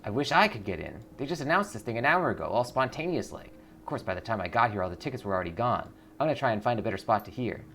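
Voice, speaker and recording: A man's voice: fairly low pitch, very animated, fast talker, hardly any pauses, a bit bright, a little hiss or hum. A man voicing an eager young rock concert fan in a video game, chatty and informal. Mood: eager, excited. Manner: eager, informal street talk.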